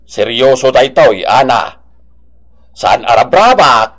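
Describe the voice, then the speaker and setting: A man playing a serious, deliberate village official with an Ilocano accent in a radio drama. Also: mid-range voice, animated, a bit bright. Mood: stern. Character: stern, measured village captain handling a complaint.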